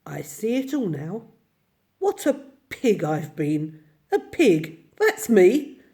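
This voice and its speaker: Woman doing a brisk, kindly male water rat. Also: medium pitch, wildly swooping pitch, dark tone, full character voice. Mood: self-reproaching. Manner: cheerful, brisk, matter-of-fact.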